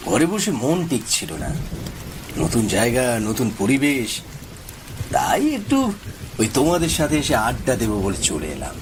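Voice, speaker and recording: A man's voice: mid-range voice, very animated, normal speed, long pauses, natural voice. A man playing a polite, earnest village schoolmaster in a horror cartoon. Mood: friendly. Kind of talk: Bengali horror cartoon voice acting. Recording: rain and thunder noise behind.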